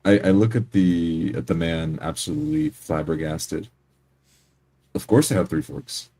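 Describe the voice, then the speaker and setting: A man playing a tabletop game, improvising his character's words and actions in measured, slightly formal wording. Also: very deep voice, dark tone, breathy edge.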